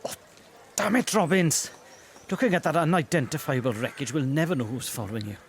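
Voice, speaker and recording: A man acting an exasperated, excitable senior detective in a comic audio drama, urgent and full of exclamation. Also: a little high, fairly quick, slight background noise, lightly acted.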